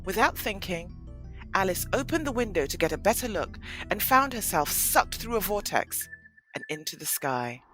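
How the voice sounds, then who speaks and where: A woman's voice: mid-range voice, sing-song, moderate pace, over loud music, poor audio. A woman narrating a scripted children's story for an audio play.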